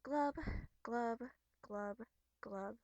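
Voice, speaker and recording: A high, bubbly young troll girl, acted out by a woman in an amateur webcomic voice performance. She is theatrical and expressive, scolding yet caring and earnest. The sound is close and dry. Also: extremely slow, creaky, no echo, dull audio, full character voice. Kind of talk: amateur character voice acting from a webcomic.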